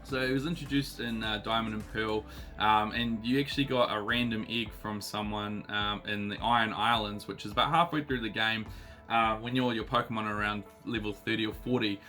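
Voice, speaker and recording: A New Zealand man in his thirties talks in a lowish but bright, forward voice, still husky from a recent illness. He rambles casually with plenty of ums and uhs, and soft guitar music plays faintly behind him. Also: level tone, a little fast. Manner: chatty, lots of ums and uhs. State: husky, recovering voice. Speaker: card collecting channel host. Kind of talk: casual unboxing chat.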